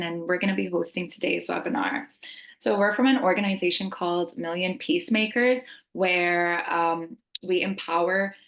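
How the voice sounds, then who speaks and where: A woman's voice: lowish voice, vocal fry. Young woman hosting a video-call webinar. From Lebanon. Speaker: webinar co-host. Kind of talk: friendly webinar presentation. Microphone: video call laptop mic.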